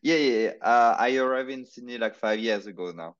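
Man, podcast guest, hesitant speech, medium pitch, dull lo-fi sound. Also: fairly quick. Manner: hesitant, searching for words. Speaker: podcast guest, marketing consultant. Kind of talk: casual interview chat.

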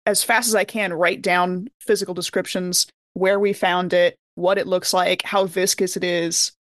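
Woman with a dry-recorded, medium-pitched voice. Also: no room sound. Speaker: player in a tabletop game podcast. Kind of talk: casual improvised role-play chat.

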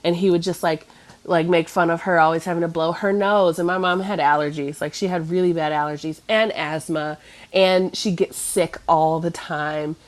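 Woman, 30s, stuffy nasal voice, rambling casual chat, lower pitch. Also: fairly even intonation, moderate pace. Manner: rambling, lots of fillers and restarts.

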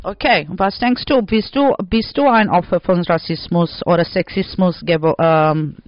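A man leading a classroom discussion, asking students questions in halting, slightly broken German. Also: high-pitched, no room sound. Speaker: workshop leader questioning students. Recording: dull, dry lo-fi recording.

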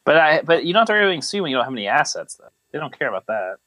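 A man's voice: a little high, big pitch swings, racing, bright, forceful tone, dry recording. A man hosting a comedy podcast, riffing playfully in casual banter and acting out imagined quotes. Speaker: podcast host.

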